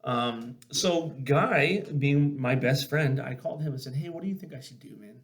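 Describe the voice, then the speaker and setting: A man's voice: on the high side, normal speed. A man talking casually and earnestly as a show host, telling personal stories in an informal, emphatic way. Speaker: podcast host and former army sergeant. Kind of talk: casual conversational storytelling.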